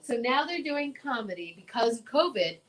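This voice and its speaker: A woman's voice: fairly high pitch, big pitch swings, moderate pace, low-quality recording. A woman co-hosting a casual talk show, chatting in a gushing, emphatic way and often repeating herself. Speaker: co-host of a comedy talk show. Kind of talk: casual chat.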